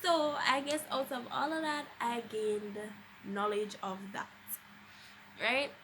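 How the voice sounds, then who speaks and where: A woman's voice: high-pitched, slow, fairly bright tone, slight background noise, rough recording. A young adult woman with a Jamaican accent, chatting casually and expressively as a vlogger telling a personal story, with emphatic conversational delivery.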